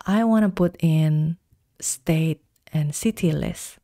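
A woman giving calm, step-by-step instructions in a screen-recorded software tutorial. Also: low-pitched, no echo, clean studio sound. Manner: calm step-by-step instructions, slow deliberate pacing.

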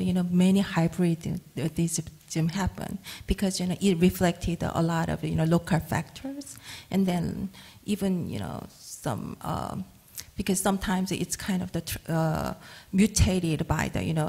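A woman's voice: mid-range voice, creaky, mellow, slightly rough. A Korean-accented woman speaking as a panelist on stage, hesitant, with many "you know" fillers, into a handheld mic. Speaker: panelist artist. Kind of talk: panel discussion. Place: stage of a museum auditorium.

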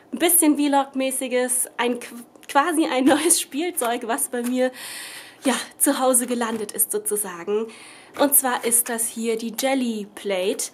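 A young woman with a cold talks her way through a crafting demo at a table at home, rambling and correcting herself as she goes. Her voice sits a little high. A faint hiss stays under the recording. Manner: chatty, rambling, many fillers and self-corrections. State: has a cold. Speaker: craft vlogger. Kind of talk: casual crafting tutorial chat. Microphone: camera above the table, speaker off screen.

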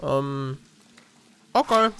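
A man's voice: mid-range voice, expressive, very slow, gravelly, pushed and bright, slight background noise. A man in his thirties giving joking, mock-grumpy gameplay commentary with crude banter into a headset. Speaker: let's play streamer. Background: shooter game sound.

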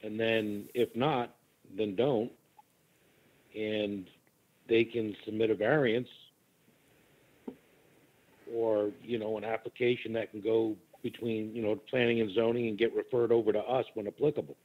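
A man's voice: on the low side, flat delivery, measured pace, pauses often. A man calling in to a meeting as a committee member, speaking informally. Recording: tinny phone line.

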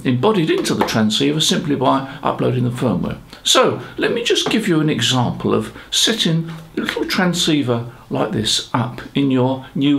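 A man's voice: medium pitch, vocal fry, a bit bright. A British man in his seventies giving a conversational explainer to camera, chatty and reflective with rhetorical asides. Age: 70s. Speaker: ham radio presenter. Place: small home office, indoors.

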